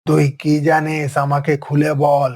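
A man's voice: fairly high pitch, completely flat delivery, a little slow, darkish tone. A man voicing an inquisitive, authoritative tiger uncle in a cartoon story. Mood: stern.

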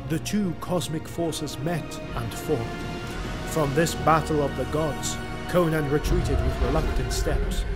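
A man narrating a fantasy audiodrama in a grave, ornate storytelling manner. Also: on the high side. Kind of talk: dramatic scripted audiodrama narration.

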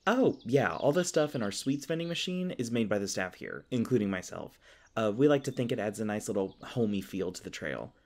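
A man's voice: on the low side, lively intonation, fairly quick. A man playing a polite, hesitant, folksy elf park ranger in an audio roleplay. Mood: helpful, friendly. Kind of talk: ASMR audio roleplay acting.

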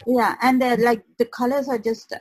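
Female podcast guest, slightly high voice, dry close sound, casual chat. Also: little pitch movement, normal speed, husky, dry recording.

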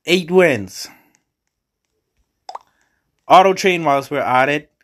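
A man's voice: on the high side, slow, fairly bright tone, slight background noise. A man from the Bahamas with a Bahamian accent, giving casual commentary as he hosts a gaming video.

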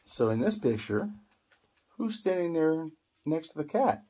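A man's voice: on the high side, very animated, measured pace, mellow, slightly rough. A middle-aged man guiding young children through reading, explaining in short simple phrases and prompting with questions. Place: small indoor room at a table.

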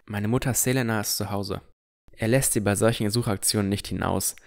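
Low-voiced male story narrator. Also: steady tone, brisk, creaky. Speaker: first-person narrator. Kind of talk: scripted fantasy audiobook narration.

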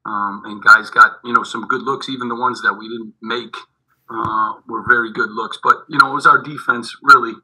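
A middle-aged man, a head basketball coach, answering postgame questions over a video call, halting and repeating his words, with many fillers. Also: mid-range voice, fairly even intonation, bright, forceful tone, low-quality recording. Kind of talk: postgame press conference answers. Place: indoors in front of a team backdrop. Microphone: video call webcam.

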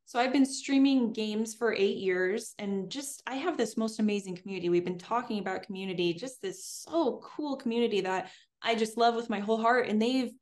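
A woman's voice: a little high, normal speed, darkish tone. A young woman answering interview questions in an upbeat, fluent, chatty way, recorded indoors. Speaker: guest, homesteader and streamer. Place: indoors in front of a green screen.

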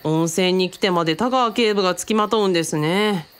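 A woman's voice: lowish voice, brisk, clear, resonant tone, natural voice. Woman playing a polite, modest young assistant. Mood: dryly reluctant. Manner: deferential, gently dry. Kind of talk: radio drama dialogue.

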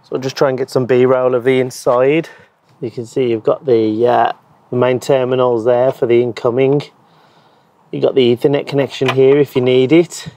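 Hoarse guy, 30s, explaining how to fit a charger outside, lapel mic, laid back with lots of ums. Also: medium pitch, moderate pace. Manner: relaxed, explanatory, with frequent ums and so's. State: hoarse, losing his voice. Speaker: EV charger installer and channel host. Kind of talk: hands-on installation demo. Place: outdoor garden beside a wooden shed.

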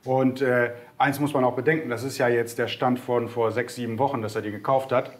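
A man's voice: mid-range voice, fairly even intonation, brisk. A man co-hosting a casual market chat, enthusiastic and opinionated, often ending remarks with a questioning tag. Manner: enthusiastic, bold opinions, frequent "ne?" tags. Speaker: co-host of a broker's market show.

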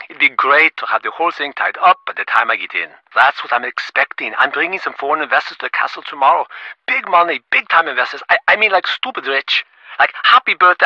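Brash, bright-voiced rich businessman on the phone. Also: fairly high pitch, dry recording, full character voice. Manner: boastful, glib, fast-talking salesman patter. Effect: phone filter. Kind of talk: scripted video game phone dialogue. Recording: muffled, band-limited phone line.

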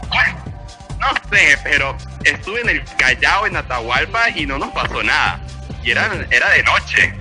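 A man's voice: on the high side, very animated, normal speed, pushed and bright. Venezuelan guy from táchira chatting on radio, repeats himself, music playing behind. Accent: Venezuelan Andean, from Táchira near the Colombian border. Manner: chatty, rambling, repeats words. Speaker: interview guest. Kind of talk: casual interview answers.

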